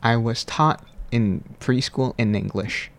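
A man, explaining things in formal, deliberate, carefully worded answers. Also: mid-range voice, vocal fry. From Malaysia. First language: Cantonese. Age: 20s. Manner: formal, deliberate, precise wording. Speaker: university student guest.

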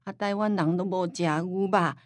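A woman's voice: fairly low pitch, steady tone, no room sound, lightly acted. Actress as forgetful old Taiwanese grandmother, close and dry. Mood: reminiscing. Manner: rambling, drawn-out sentence-end particles. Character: elderly forgetful grandmother, stubborn, childlike, nostalgic. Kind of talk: radio drama acting.

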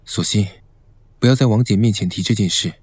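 Low, mellow, composed young man. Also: a little fast, breathy edge, no echo. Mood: serious, explaining. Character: calm, reserved, knowledgeable young male senior schoolmate. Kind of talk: Mandarin audio romance drama acting.

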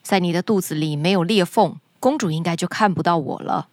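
A woman puts on an earnest young fairy-tale hero. The voice drops low and stays subdued and musing, with a gloomy turn. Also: a little fast, a little mellow, lightly acted. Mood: hesitant hope.

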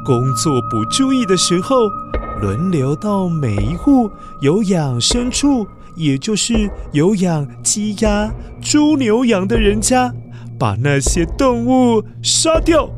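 A man narrates a children's tale in Taiwanese Mandarin at an unhurried pace, with music behind him. His delivery is dramatic and swooping, and he turns to his young listeners as he tells it. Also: high voice, wildly swooping pitch. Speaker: children's story podcast narrator. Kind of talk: expressive scripted storytelling for children.

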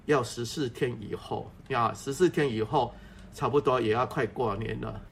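Casting: male doctor interviewee, middle-pitched, explaining over faint ambient noise. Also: normal speed, creaky.

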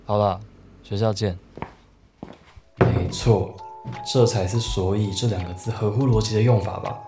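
A man's voice: fairly low pitch, steady tone, measured pace, close to an everyday voice. A young man with a Taiwanese accent acting a lovestruck, teasing student in an audio drama. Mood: wistful narrating. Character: lovestruck teasing male student narrating from hospital. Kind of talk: audio drama narration and dialogue. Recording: roomy echo, music behind.

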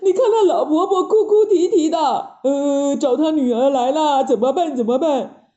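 A woman's voice: sits high, moderate pace, warm tone, voice pushed up, close to an everyday voice. A woman voicing a whiny, gullible male pig disciple who grunts hesitantly and tattles in a children's story. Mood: fretful. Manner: hesitant grunts, whining complaints. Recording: roomy, dull lo-fi sound.

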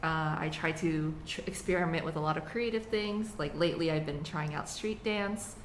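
A young woman born in the United States, chatting casually as a student podcast co-host, relaxed and laughing often. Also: low-pitched, moderate pace. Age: young adult. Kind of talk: casual chat. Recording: echoey room, faint hiss.

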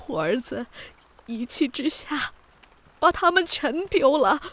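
An actress playing an elderly widowed granny in a radio drama, crying and pleading apologetically. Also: female voice, high-pitched, lively intonation, takes it slowly, fairly bright tone, full character voice. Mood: tearful pleading. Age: older. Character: tearful widowed granny confessing and begging forgiveness.

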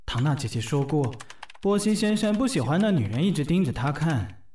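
A man's voice: a little high, lively intonation, fairly quick, a little mellow, a little hiss or hum, natural voice. An actor voicing an anxious, fussy young male office intern who reassures himself, in an audio drama. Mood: eager, nervous. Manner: anxious, precise, self-reassuring. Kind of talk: audio drama monologue and dialogue.

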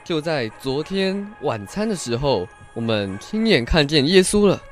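A man's voice: on the high side, big pitch swings, unhurried, music in the background. An actor playing an eager, friendly village man and disciple in a theatrical radio Bible drama. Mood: eager, insistent.

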